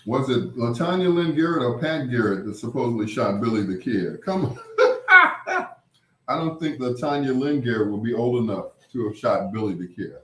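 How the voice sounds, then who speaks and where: A man chatting casually with live viewers as a livestream host, rambling and repeating phrases, with drawn-out greetings. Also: medium pitch, lively intonation, a little mellow. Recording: roomy, echoing sound.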